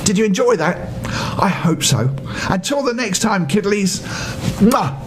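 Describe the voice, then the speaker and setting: An older man reading a bedtime story to children, playful and expressive, speaking to his young listeners. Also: sits high, unhurried, over music.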